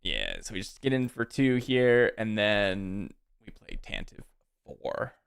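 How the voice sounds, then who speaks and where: Male streamer, thirties, relaxed and talkative, reacts to chat while planning moves aloud. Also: on the low side, slow, creaky, clean tone. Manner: chatty, answers chat, thinks aloud about plays. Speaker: streamer playing a card game. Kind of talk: casual live-stream chat while playing.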